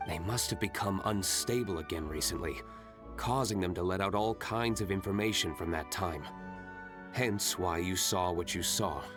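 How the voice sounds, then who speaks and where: An actor playing a cool, self-assured young nobleman vigilante in an anime-style video game, composed, measured and reserved. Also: low voice, natural voice. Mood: serious, matter-of-fact. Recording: background music under the voice.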